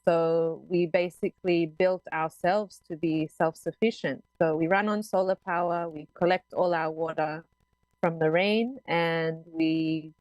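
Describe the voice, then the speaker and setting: A woman guest on a radio show describing her family's resort, relaxed and friendly. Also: on the low side, unhurried, no echo, lo-fi sound. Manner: relaxed, friendly, laughs easily. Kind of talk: casual radio interview.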